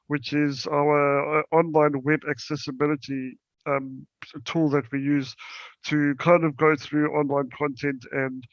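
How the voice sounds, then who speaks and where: Halting South African man, gravelly voice. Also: medium pitch, no room sound, lo-fi sound. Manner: halting, many fillers and restarts. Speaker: startup presenter. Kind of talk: conference presentation.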